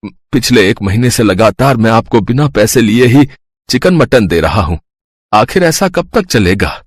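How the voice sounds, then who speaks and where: Man putting on a husky, humble old village butcher. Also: mid-range voice, animated, a little slow, no room sound, clean studio sound, pitched below the performer's own voice. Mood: pleading. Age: older. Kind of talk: Hindi cartoon story dialogue.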